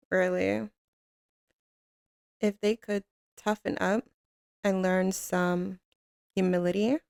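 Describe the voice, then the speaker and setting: A woman playing a tough, commanding mafia boss with a tender side for a lover, in an intimate ASMR roleplay, with a gravelly, creaky voice and a cool drawl. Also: mid-range voice, darkish tone, dry recording, studio quality. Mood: contemptuous, menacing. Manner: cool, unhurried, commanding drawl with pet names.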